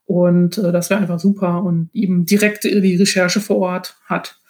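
A woman's voice: fairly low pitch, little pitch movement, moderate pace, a little mellow. Reflective woman in casual conversation. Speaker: guest, thriller author.

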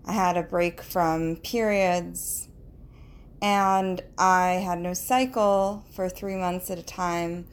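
Slow-talking woman in her thirties. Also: on the low side, fairly even intonation, smooth. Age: 30s. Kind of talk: personal voice memo, casual talk.

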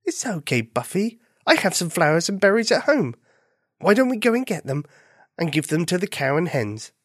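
Woman voicing a soft, kind girl rabbit. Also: fairly low pitch, big pitch swings, normal speed, no echo, studio quality, voice pushed up. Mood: comforting. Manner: soft-spoken, reassuring. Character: gentle female rabbit, a kind, helpful friend. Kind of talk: children's storybook character voice.

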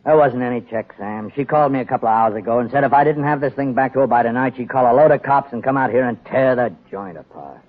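A man plays a world-weary mobster running a gambling joint. He grumbles in slangy tough-guy fashion at a middling pitch. It has the thin, tinny sound of forties radio drama. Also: fast talker, hardly any pauses, lightly acted. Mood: resigned, confiding. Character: weary, grumbling aging male gangster gambling boss. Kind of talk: 1940s radio acting. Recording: tinny band-limited 1940s radio broadcast.

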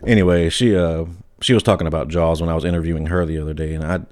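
A man's voice: deep voice, steady tone, rapid-fire, a little mellow. A man hosting a podcast, asking questions in a casual, conversational interview style.

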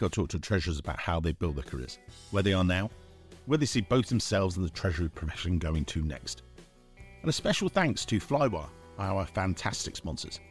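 Guy hosting an interview podcast, lowish voice, dry studio-type sound, bit of music. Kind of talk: scripted podcast intro and interview.

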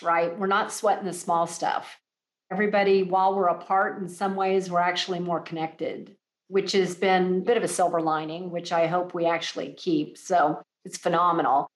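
Woman podcast host in a roomy space. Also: fairly low pitch. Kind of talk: corporate podcast interview. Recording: roomy echo.

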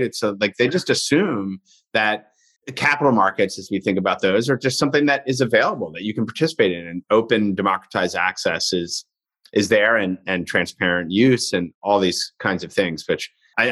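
Relaxed, low-voiced man in his fifties. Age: 50s. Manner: relaxed, musing, trailing phrases. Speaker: podcast host. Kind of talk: conversational podcast interview.

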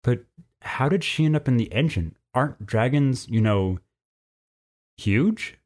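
A man's voice: medium pitch, lively intonation, a little slow, darkish tone. A man voicing an earnest, curious young male reptilian schoolboy in a storybook, asking with hesitant wonder. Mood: curious, amazed. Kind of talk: storybook character voice.

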